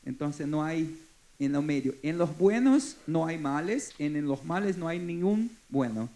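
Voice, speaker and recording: A man in his forties from Brazil with a Brazilian Portuguese accent, Portuguese his first language, speaking haltingly as a forum panelist. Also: a little high, expressive, mellow. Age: 40s. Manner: halting, searching for words. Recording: echoey conference hall.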